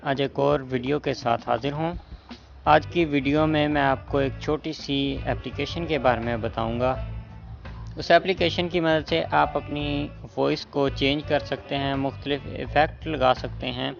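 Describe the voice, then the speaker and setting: A man's voice: mid-range voice, steady tone, measured pace, vocal fry. Man with muffled, lo-fi tutorial voice. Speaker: tech tutorial host. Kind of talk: casual step-by-step app tutorial. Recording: muffled lo-fi voice with background music. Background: music.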